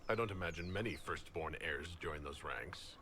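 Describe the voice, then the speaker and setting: Deep-voiced, dry fantasy hero. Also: male voice, moderate pace, pushed and bright, slight background noise, heavily acted. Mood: matter-of-fact. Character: commanding male hero of fantasy game, dry, reassuring. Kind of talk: video game dialogue acting.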